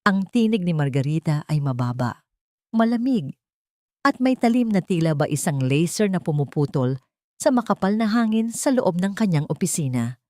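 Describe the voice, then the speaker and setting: A woman narrating a scripted dramatic love story in a solemn, deliberate manner. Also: on the low side, sing-song, a little mellow, no echo, clean studio sound.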